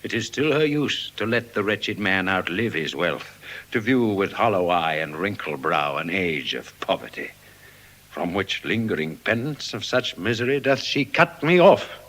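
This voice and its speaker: Noble doomed merchant in shakespeare, very low strong bright voice, stoic and dignified. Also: male voice, very animated, normal speed, full character voice. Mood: resigned, stoic. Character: noble, resigned merchant facing death. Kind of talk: classical Shakespearean stage acting.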